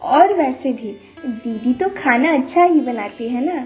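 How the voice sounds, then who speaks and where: A squeaky-high, melodramatic younger sister-in-law from an animated household tale, acted by a woman. She grumbles with sarcasm at an unhurried, drawn-out pace. Music plays behind her whiny, indignant voice. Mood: suspicious, scheming. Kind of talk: Hindi animated moral story acting.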